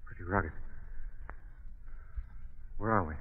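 An actor playing an intense, dramatic crime-fighting gentleman hero in a 1940s radio drama. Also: low voice, takes it slowly, in a large hall, slight background noise. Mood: grim resolve. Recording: dull old radio broadcast recording.

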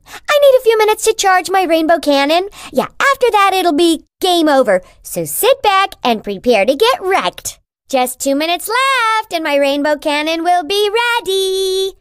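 Shrill mocking cartoon rainbow. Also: male voice, a bit bright, dry recording, studio quality, exaggerated voice. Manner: bratty, insulting, cackling. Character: taunting cartoon rainbow, male, mocking and gleeful.

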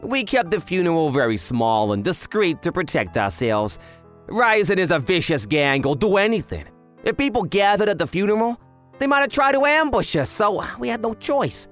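A self-assured young yakuza played by a man chats through an anime explainer. The voice is high for a man and animated, cocky in temper, over faint music. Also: normal speed. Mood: serious. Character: cocky, chatty young male yakuza, self-styled genius. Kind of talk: scripted anime explainer dub narration.

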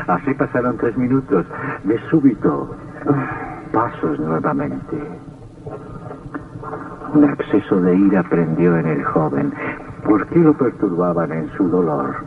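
An actor playing a sinister, darkly gloating count who narrates an old radio horror drama in a theatrical, macabre manner. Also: male voice, mid-range voice, expressive, slow, vocal fry, a little hiss or hum. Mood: macabre, brooding. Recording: tinny, distant old radio recording.

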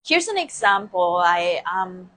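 A woman's voice: fairly low pitch, very animated, a little slow, a bit bright, a little hiss or hum. A woman in her forties from Japan with a Japanese accent, chatting casually to viewers on a livestream, often laughing and repeating phrases, recorded on a lapel mic indoors. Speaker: dance and movement coach. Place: indoor room with plants behind. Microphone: lapel mic clipped to shirt.

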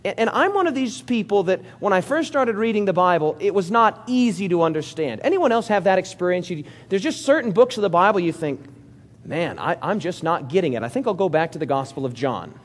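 Lively, high-voiced preaching man. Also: fairly quick. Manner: lively, interactive preaching with audience questions and jokes. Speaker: pastor. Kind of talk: sermon. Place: church hall before a congregation.

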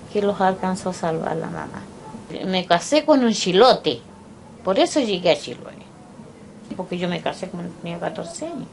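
An older Chilean woman recalling her life slowly and haltingly, pausing often to remember. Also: fairly low pitch, vocal fry, darkish tone, a little hiss or hum. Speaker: interviewee. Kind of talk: personal recollection. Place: indoors, small room by a window.

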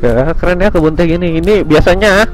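A man with a Jakarta-area accent talks to his viewers from a moving motorbike. His voice sits a little high for a man, and his delivery is casual and full of slangy exclamations. Some music plays behind him. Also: a little fast. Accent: Jakarta-area Indonesian. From Indonesia, Tangerang near Jakarta. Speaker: motovlogger. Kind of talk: casual chat to viewers while riding. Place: outdoors on a moving motorbike on a country road.

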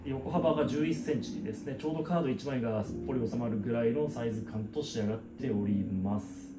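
A man's voice: mid-range voice, quick pace. A man in his thirties giving a casual, conversational product walkthrough as a craftsman, explaining details as he goes. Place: small indoor room with product shelf. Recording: roomy echo, guitar music behind. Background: guitar music.

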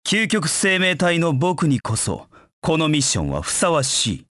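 An actor voicing a proud, cold dark knight in a video game, with curt, haughty, disdainful delivery. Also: male voice, on the high side, very animated, a little slow, no echo, clean studio sound. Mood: forceful, challenging, then confident, aloof. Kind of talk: video game voice acting in short battle lines.

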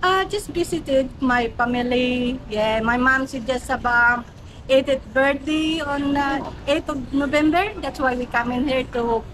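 A woman's voice: high-pitched, measured pace, low-quality recording. A middle-aged woman from the Philippines, giving brief, plain answers in a street interview outdoors. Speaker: visitor interviewee. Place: outdoors by a glass storefront.

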